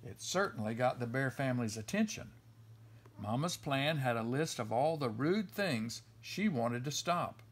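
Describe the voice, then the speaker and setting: A man reading a children's story aloud in an expressive storytelling style. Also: medium pitch, measured pace, a little hiss or hum. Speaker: storybook reader.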